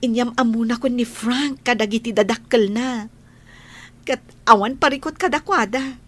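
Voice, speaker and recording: Actress as a high-voiced, playful young friend. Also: female voice, normal speed, lightly acted. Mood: encouraging. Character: cheerful, teasing young woman, a close friend. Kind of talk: radio drama acting.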